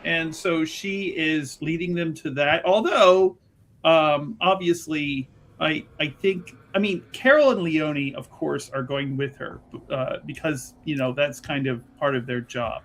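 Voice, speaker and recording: A man talks as a player in a tabletop roleplaying game. His voice sits a little high for a man, and he chats casually and agreeably, improvising story details and echoing his partner. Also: fairly bright tone. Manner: chatty, agreeable, often echoing the other speaker.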